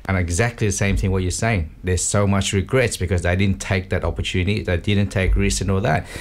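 A man's voice: low-pitched, steady tone, fairly quick. A man hosting a casual interview, encouraging his guest with short affirmations. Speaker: podcast host.

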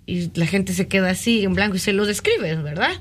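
A woman from Ecuador speaks with an Ecuadorian Spanish accent as a radio guest. She tells her story in a chatty, eager way. Her voice sits at an ordinary middle pitch. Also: brisk. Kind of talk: radio interview.

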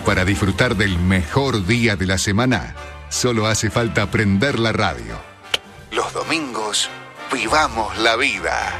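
A man's voice: on the low side, lively intonation. An Argentine man reading scripted radio station promos. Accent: Argentine Spanish. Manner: measured, drawn-out promo delivery. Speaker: station announcer. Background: loud music bed.

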